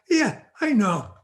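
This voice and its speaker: A man's voice: very high-pitched, a bit bright, exaggerated voice. A man playing a put-upon, grumbling bartender in a 1940s style radio drama, with a folksy manner.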